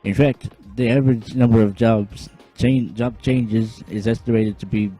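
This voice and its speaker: A man's voice: fairly low pitch, steady tone, normal speed, mellow, faint music behind, close to an everyday voice, low-quality recording. A man voicing a popular, folksy middle-aged mayor who gives a chatty speech with a slight put-on accent. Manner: chatty, jokey, public-speaking.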